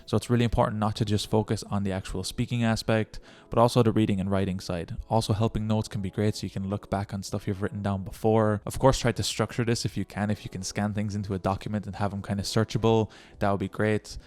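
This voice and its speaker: A man's voice: on the low side, level tone, quick pace, hardly any pauses, a little mellow. A young man giving encouraging language-learning tips in a casual vlog, talking straight to the viewer. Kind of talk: casual tutorial vlog talk.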